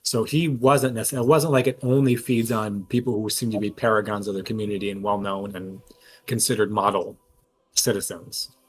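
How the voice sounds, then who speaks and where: A man's voice: lowish voice, steady tone, moderate pace, husky. A man talking casually and analytically as he works through a mystery at length as a tabletop game player. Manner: analytical, reasons aloud at length.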